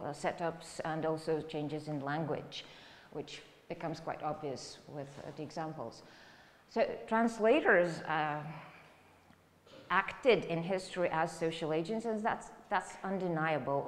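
A middle-aged female university lecturer with a Serbian accent speaks in a fairly low voice. She explains things haltingly, as in a freely spoken academic talk. The lecture room rings with reverb and has some faint noise behind her. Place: indoor lecture room, standing by a lectern.

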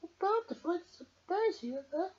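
Storyteller doing a high, earnest little girl. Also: expressive, moderate pace, darkish tone. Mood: eager. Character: cheerful, caring little girl from a picture book. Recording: dull lo-fi camera audio.

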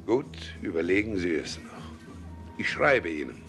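An actor playing an eccentric, cryptic hunchbacked scholar in a radio play, speaking with dry deliberation. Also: male voice, low voice, sing-song, unhurried, over music, exaggerated voice. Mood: wry, melancholy. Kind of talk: literary radio play acting.

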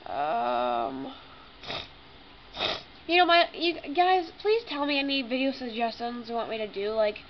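A woman's voice: sits high, lively intonation, unhurried, pauses often. A young woman with a stuffy nose chatting casually and apologetically while playing a game. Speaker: gaming YouTuber. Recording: lo-fi home recording, noisy background.

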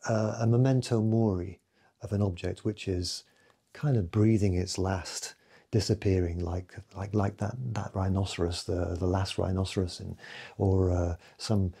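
A man in his late fifties talks to camera from a room at home, his low voice gravelly and dark. He speaks reflectively and hesitantly, with frequent fillers. His softer passages fade almost to nothing, and a husky edge runs through it. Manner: reflective, hesitant, many fillers, trailing off softly. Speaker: photographer giving a recorded festival talk. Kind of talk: recorded talk to camera. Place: home room with bookshelves, indoors.

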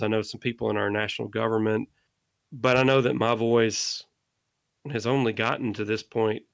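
A middle-aged man talks as a podcast guest, his voice carrying a gravelly rasp. He speaks with earnest conviction, pressing his listeners to keep making things. The sound is dry and close, with no room around it. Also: medium pitch, no echo. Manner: impassioned, exhorting listeners. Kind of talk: earnest interview monologue.

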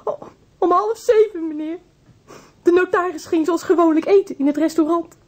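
Distraught housekeeper, radio play, extremely high pitch, timid, halting, deferential. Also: female voice, a little mellow. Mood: nervous, polite. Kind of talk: Dutch radio drama acting.

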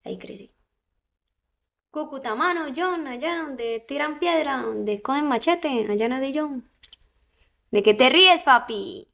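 A woman's voice: high-pitched, lively intonation, unhurried, creaky, long pauses, bright, forceful tone. A young Colombian woman with a mixed coastal and Santander accent, chatting playfully with viewers on a phone livestream. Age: young adult.

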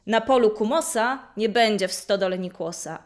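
A woman's voice: mid-range voice, normal speed, close to an everyday voice. A woman playing a costumed village lad who recites a comic folk rhyme in a rhythmic, chanting way. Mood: playful.

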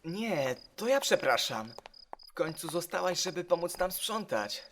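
A woman's voice: lowish voice, big pitch swings, unhurried, slight background noise. A woman playing a kind, modest, considerate teenage boy in an anime fan dub. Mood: considerate, apologetic.

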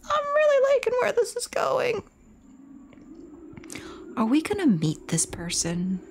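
A woman's voice: very high voice, huge pitch swings, unhurried, a little hiss or hum. A woman playing through a horror game, reading text aloud and commenting on it.

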